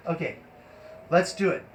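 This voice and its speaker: A man hosting a casual, improvised live stream, talking in a rambling, halting way and giving directions between remarks. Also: sits high. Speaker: live stream host and performer. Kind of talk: casual improvised livestream chat. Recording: echoey room, noisy live stream audio.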